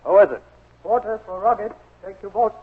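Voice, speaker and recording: A high, husky Japanese-accented porter in a vintage radio play. He is deferential yet stubborn, talking in clipped broken English over a tinny old recording. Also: expressive, moderate pace, heavily acted. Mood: politely insistent. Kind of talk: 1940s radio drama acting.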